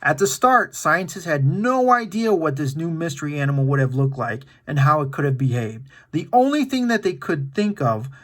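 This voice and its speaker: Animated man reading aloud for kids. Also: on the high side, moderate pace, few pauses. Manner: animated, encouraging, informal. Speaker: children's storytime reader and host. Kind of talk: read-aloud with casual asides to kids.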